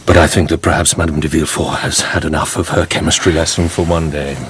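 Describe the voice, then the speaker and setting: A man playing a suave, mysterious Count with measured, courtly, insinuating speech in a radio drama. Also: extremely low pitch, level tone, noisy background. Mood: courteous, insinuating.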